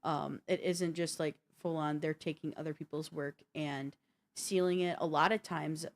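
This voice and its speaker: A woman with a slightly low voice co-hosts a gaming show. She chats casually and works through explanations in a rambling way, often stepping aside to add detail. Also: steady tone, normal speed. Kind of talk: casual explanatory chat about video games.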